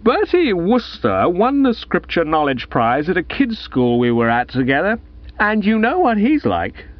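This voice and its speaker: A man voicing a tipsy, haughty, belligerent young gentleman with drawn-out, accusing emphasis in a comic audiobook. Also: high voice, huge pitch swings, dry recording, dull audio, pitched above the performer's own voice, exaggerated voice. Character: tipsy, cocky, belligerent young gentleman handing out school prizes.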